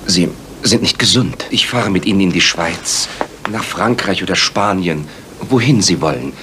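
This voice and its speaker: Ein Sprecher, der in einem Hörspiel einen treuen, leicht reizbaren Arzt spielt, ernst und empört. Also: male voice, low-pitched, expressive, moderate pace, noisy background. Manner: indignant and earnest. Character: loyal, easily ruffled male doctor companion. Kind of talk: German radio drama.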